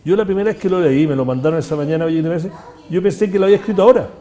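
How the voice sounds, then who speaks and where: A man with a Chilean accent hosting a talk-show conversation, talking fast with an opinionated tone and many restarts. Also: fairly high pitch, mellow. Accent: Chilean Spanish. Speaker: host.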